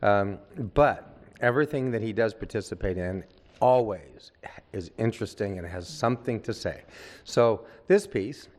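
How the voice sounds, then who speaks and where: A man's voice: lowish voice, moderate pace, darkish tone. An older man, a veteran actor, chatting at length in an on-set interview, rambling with many asides.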